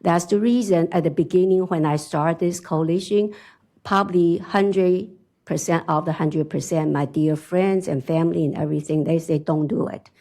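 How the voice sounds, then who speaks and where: A middle-aged woman with a strong Chinese accent giving an animated conference talk, often laughing and asking "right?". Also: on the low side, normal speed. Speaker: conference speaker. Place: conference hall.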